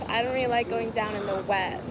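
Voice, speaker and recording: Woman vlogger, higher voice, chatty live-stream delivery to viewers, outdoor city recording with traffic noise. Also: fairly even intonation, fast talker. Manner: chatty, laughs easily, talks to viewers as "guys". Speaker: vlogger reading live chat. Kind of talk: casual livestream chat. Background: street and traffic noise.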